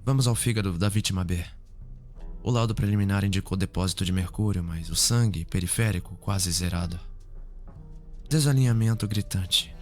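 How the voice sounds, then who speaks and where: Man acting a low-voiced, serious young investigator. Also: a little mellow, faint music behind, close to an everyday voice. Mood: clinical, focused. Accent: Brazilian. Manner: formal, measured, clinical. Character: serious, formal young male forensic investigator. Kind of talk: ASMR roleplay acting.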